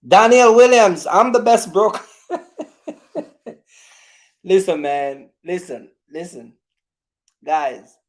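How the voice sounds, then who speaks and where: A man hosting a casual live question-and-answer chat, speaking in a halting, repetitive way and often addressing his audience directly. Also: high-pitched. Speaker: livestream host.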